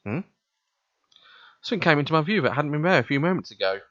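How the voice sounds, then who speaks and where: A man's voice: on the high side, big pitch swings, fast talker, fairly bright tone, no echo, dull audio. A man hosting a let's play, reading visual novel narration aloud and commenting casually, stumbling now and then.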